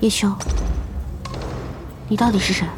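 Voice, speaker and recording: A woman playing a composed, perceptive young gamer in an audio drama, speaking in a measured, gentle way. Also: medium pitch, steady tone, over music, lightly acted. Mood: calm, probing.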